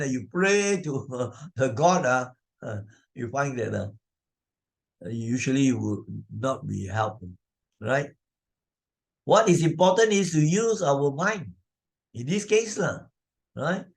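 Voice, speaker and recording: A Malaysian man speaks as a storytelling teacher, with a very lively pitch that rises and falls widely. He explains as he narrates for young listeners, conversationally, repeating himself and checking in with short tag questions. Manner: conversational, repetitive, with tag questions like "right?" and "lah".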